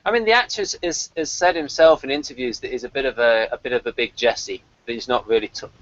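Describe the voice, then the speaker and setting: A man's voice: mid-range voice, quick pace, a bit bright, dry recording. A British man co-hosting a casual review chat, speaking in a hesitant, rambling, dryly wry way and partly reading from notes. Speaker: podcast co-host. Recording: slightly dull, lo-fi voice recording.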